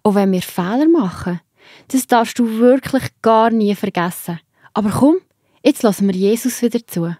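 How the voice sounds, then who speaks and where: A woman's voice: fairly high pitch, moderate pace, dark tone, no echo, studio quality. A woman with a Swiss German accent hosting and explaining a story to children in a scripted delivery. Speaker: host.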